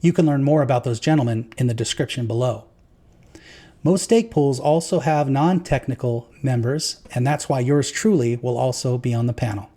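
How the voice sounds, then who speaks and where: A man's voice: medium pitch, normal speed. A man reading a rehearsed, friendly introduction to an interview as a voice-over. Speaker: show introducer and panelist. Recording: voice-over with guitar music behind. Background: soft guitar music.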